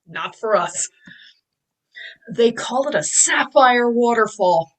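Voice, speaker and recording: A woman's voice: fairly high pitch, lively intonation, slow, low-quality recording. A woman playing a fussy, pedantic, self-important professor in a fan table read. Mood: dismissive, fussy. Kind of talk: fan table read over video call.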